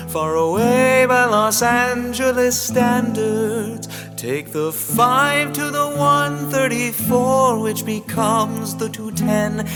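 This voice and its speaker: A woman singing a comic storytelling ballad over plucked guitar, like a minstrel. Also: medium pitch.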